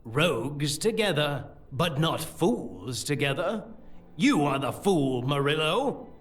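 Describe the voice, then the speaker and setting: A gloating evil priest, grandiose, voice climbing all over, audio drama w/ music. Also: male voice, on the high side, big pitch swings, takes it slowly, a bit bright, full character voice. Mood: sly. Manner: theatrical, taunting. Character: gloating, treacherous scheming priest, grandiose villain. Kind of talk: fantasy audio drama acting.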